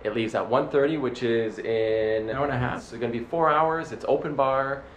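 A man's voice: mid-range voice, fairly quick, slight background noise. A man in his thirties from Canada chatting casually to a handheld camera outdoors as a travel vlogger. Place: outdoors, on a boat and around town. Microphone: handheld camera at arm's length.

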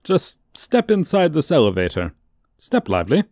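A man playing a theatrical, sardonic supervillain posing as a tour guide in a comedic audio roleplay, with showy drawn-out emphasis and dry asides. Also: fairly high pitch, wildly swooping pitch, darkish tone, soft background music. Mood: chatty, insincere. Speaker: voice actor. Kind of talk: comedic ASMR roleplay monologue.

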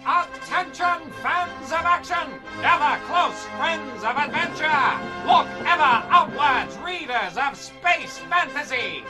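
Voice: high-pitched, lively intonation, slow pace, hardly any pauses, rough recording. A performer playing a grandiose announcer of a space adventure radio serial, proclaiming dramatically. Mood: grandiose, excited. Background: music.

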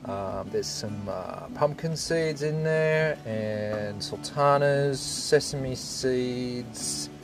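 A man's voice: medium pitch, extremely slow, creaky, music in the background. A man in his forties with an Australian accent, talking casually and unscripted as a laid-back, colloquial vlogger. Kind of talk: casual, unscripted vlog talk.

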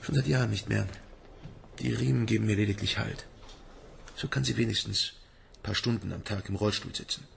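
An actor playing a stern, guarded psychiatric doctor in a radio play, clipped and authoritative. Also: male voice, lowish voice, a little fast, vocal fry, long pauses, a little hiss or hum. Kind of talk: naturalistic radio drama dialogue.

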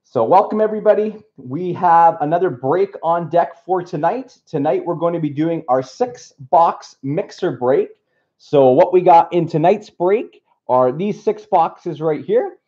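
A man from Canada hosting a live card break from a table indoors, upbeat and slightly raspy from being unwell. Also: a little high, animated, a little slow, dark tone, highs cut off. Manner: upbeat, reads off a list of names. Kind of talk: casual live stream chat.

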